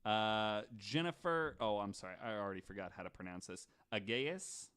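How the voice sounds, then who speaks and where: A man with a fairly low voice hosts a podcast. He tells stories from notes in a casual, conversational manner, dropping in jokey asides. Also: expressive, normal speed, a bit bright, clear, resonant tone. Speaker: podcast host. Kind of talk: casual storytelling from notes with banter.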